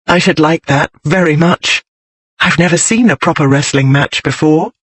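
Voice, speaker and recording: A man's voice: a little high, moderate pace, fairly bright tone, no echo. A man voicing a strong-willed, outspoken young lady in an audiobook, with forthright, emphatic period speech. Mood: pleased. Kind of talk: audiobook character voice.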